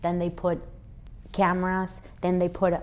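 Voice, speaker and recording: A woman's voice: lowish voice, completely flat delivery, unhurried, a little mellow, highs cut off. A woman in her thirties from Venezuela, answering interview questions in an earnest, reflective way with frequent restarts. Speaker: interview guest, athlete and fitness educator.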